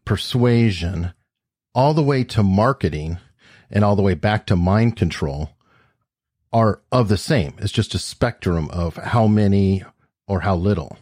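Male podcast host, low husky voice, upbeat interviewer, dry booth sound. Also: a little slow, a little mellow, dry recording. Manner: upbeat, enthusiastic questioning. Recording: dry close-mic sound.

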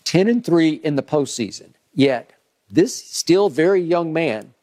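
A man hosting a sports debate, musing aloud and reciting records in a measured way. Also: fairly high pitch, expressive, a little mellow. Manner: measured, musing, recounts numbers. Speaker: host.

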